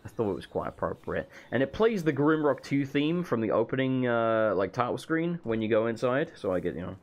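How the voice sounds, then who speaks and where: A man with a hoarse voice, losing it from being sick, rambling casually as he shows off his game mod. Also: medium pitch, sing-song, a little fast, muffled recording. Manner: rambling, self-correcting, casual swearing. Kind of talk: casual gameplay walkthrough. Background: faint game music.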